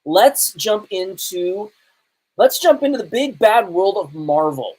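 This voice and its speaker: A man's voice: high-pitched, lively intonation, slow pace, a little mellow, poor audio. A man hosting a podcast, talking casually in a rambling, conversational way and often correcting himself. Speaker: podcast host. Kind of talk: casual live podcast talk and reviews.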